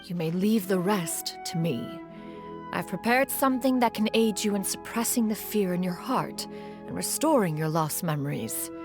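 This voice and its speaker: A woman voicing a poised, composed immortal adept who speaks in a calm, formal, reassuring way. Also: mid-range voice, moderate pace, over music, lightly acted.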